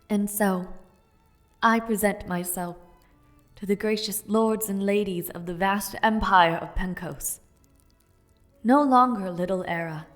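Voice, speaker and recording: A woman playing an earnest, resolute young noblewoman of a fantasy realm in an audio roleplay, speaking formally and with measured care. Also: mid-range voice, close to an everyday voice.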